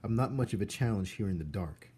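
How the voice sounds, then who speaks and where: A man's voice: on the low side, animated, fast talker, darkish tone, slightly rough. A man voicing a brave, stubborn, curious young girl in a storybook, plain-spoken and questioning. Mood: determined.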